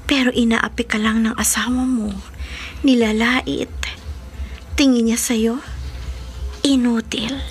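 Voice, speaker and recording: A woman playing a seductive otherworldly forest temptress in a horror radio drama, coaxing in a breathy voice that often sinks to a whisper. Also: on the high side, level tone, slow pace, pauses often, soft background music.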